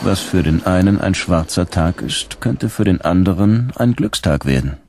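A man's voice: low-pitched, expressive, moderate pace, very noisy. A man playing a weary, sardonic policeman in a radio drama monologue, with a dry, resigned delivery. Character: weary, sardonic male android-hunting policeman, inner monologue.

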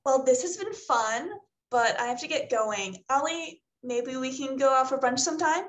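A woman's voice: high voice, lively intonation, normal speed. A woman playing a sociable, friendly friend in a comedy table read. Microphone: video call.

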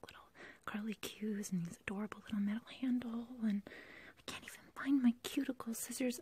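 A woman's voice: fairly high pitch, a little mellow, lightly acted. A woman playing an obsessive, love-crazed young kidnapper in an ASMR roleplay, whispering close to the mic in a rambling, hesitant, sweetly menacing way. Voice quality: breathy, whispery. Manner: rambling, hesitant, cooing, giggly. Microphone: close ASMR microphone, dry.

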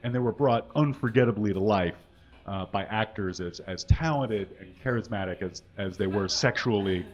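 A man giving a comic reading on a small stage at a stand mic, deadpan and mock-earnest. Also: on the low side, normal speed, highs cut off. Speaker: guest reader. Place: small stage with curtains and brick wall.

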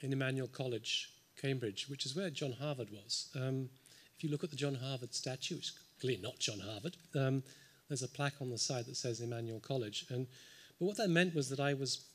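A man speaks at a symposium panel table, thinking aloud with frequent ums and dry little asides. His voice sits at an ordinary middle pitch. He is picked up by a table microphone in a large lecture hall. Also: a little fast, a bit bright, slight background noise. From United Kingdom. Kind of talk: unscripted panel response.

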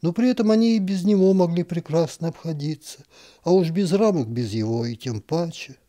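Male narrator, wry and ironic, warm mellow voice, pitch moves a lot as he reads the story. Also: expressive, fairly quick. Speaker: narrator reading a short story. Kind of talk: expressive literary reading.

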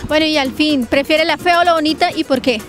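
A woman's voice: sits high, a little fast, faint music behind. A young adult woman with a Colombian accent hosting a chatty television interview outdoors with a handheld microphone. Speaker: television host. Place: outdoors in a park.

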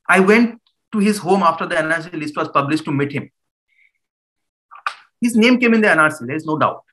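A man's voice: sits high, rough recording. A man in his thirties with an Indian English accent, giving an earnest talk as a human rights lawyer and pressing the listener with pointed questions. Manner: animated hand gestures. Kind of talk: public talk. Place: small room at home, indoors.

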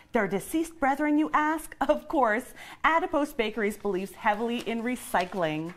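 A woman playing a perky 1950s housewife and mother, delivering cheery sales patter like an old radio advert. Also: fairly high pitch.

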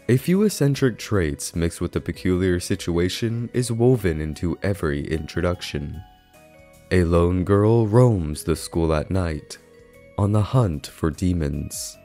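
Male essay narrator, low and soft-toned, scripted and measured delivery, faint music behind. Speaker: video essay narrator and reviewer. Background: background music.

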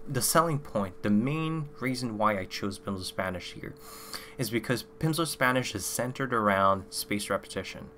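A young man talks straight to camera on a desk mic, loose and rambling, leaning on filler words. His voice sits a little low but sounds bright and forward. A faint hum runs under the recording. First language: French. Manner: loose, filler-heavy, with many "like" and "you know". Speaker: language-learning YouTuber. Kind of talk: casual review to camera. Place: small room indoors.

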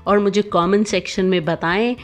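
A woman, host of a cooking channel, narrating a recipe voice-over with clear step-by-step instructions. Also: mid-range voice, steady tone, moderate pace.

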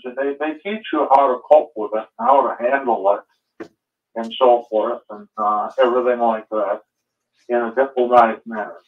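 A man calling in to a talk show over a narrow, tinny phone line. He talks at a middle pitch in long runs, tacking on "and so forth" again and again. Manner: repetitive, trailing fillers ("and so forth"). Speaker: caller.

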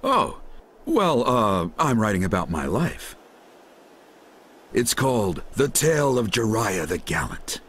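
Deep, hearty ninja mentor. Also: male voice, wildly swooping pitch, takes it slowly, a bit bright, breathy edge, full character voice. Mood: sheepish, proud. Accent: American. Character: hearty, wise middle-aged male ninja mentor. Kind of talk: English anime dub acting.

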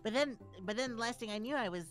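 A woman's voice: on the high side, fast, faint music behind, lightly acted. A woman voicing a timid, confused male animatronic rabbit who stammers nervously in a Minecraft roleplay.

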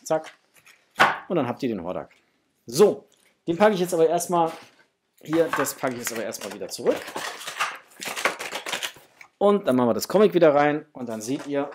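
A man's voice: fairly high pitch, noisy background. A man in his forties chatting casually and enthusiastically to viewers as he presents an unboxing indoors. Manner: enthusiastic, addresses viewers as friends. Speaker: toy unboxing vlogger. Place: indoors, small room with framed posters.

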